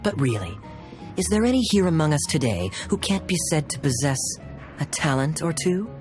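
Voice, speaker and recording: Over clear background music, a suave young captain talks with theatrical flair. His mid-pitched voice rises and falls freely, wry and teasing, in polished game-character style. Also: big pitch swings, normal speed. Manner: smooth-talking, wry, theatrical. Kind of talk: English video game voice acting. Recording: music playing behind the voice.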